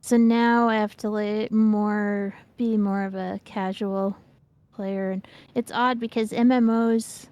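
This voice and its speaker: A woman's voice: medium pitch, flat delivery, takes it slowly, a little mellow, no room sound, lo-fi sound. Candid woman in her fifties, chatting casually. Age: 50s. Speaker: game streamer, co-host. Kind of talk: casual chat about gaming.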